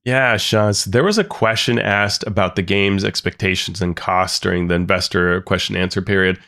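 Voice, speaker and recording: A man with a fairly low voice talks through a podcast news segment. He reads off figures in a relaxed way and drops in dry, wry remarks between the numbers. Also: moderate pace, gravelly. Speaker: podcast co-host. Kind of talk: casual news discussion.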